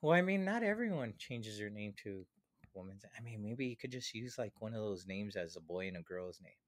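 A man in his thirties with a lowish voice talks as a podcast host. He is casual and slangy, rambling along. The close mic gives a dry sound. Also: lively intonation, fairly quick, dry recording. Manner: rambling, slangy, peppered with "dude". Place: small home studio. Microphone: close studio mic on boom arm.

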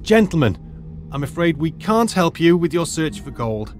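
A man's voice: a little high, sing-song, normal speed, slight background noise. A man playing a middle-aged retired archaeologist turned teacher who lays things out in a precise, lecturing way. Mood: tense. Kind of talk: audio drama acting.

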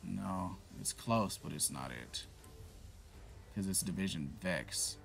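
A man giving casual, profanity-laced commentary while playing a shooter game, reacting loudly to what happens on screen. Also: sits low, takes it slowly, gravelly, soft background music. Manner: swears freely, reacts loudly to in-game events. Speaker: gameplay commentator.

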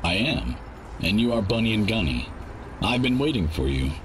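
A man voicing a cold, dismissive robot contract broker with a deadpan manner in a game. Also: on the low side, normal speed. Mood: indifferent. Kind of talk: animated game cutscene acting. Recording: clear noise behind the voice.

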